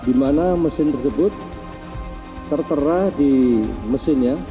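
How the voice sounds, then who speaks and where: A man giving a formal police press briefing in halting, short phrases. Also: on the high side. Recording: dull lo-fi sound, music behind.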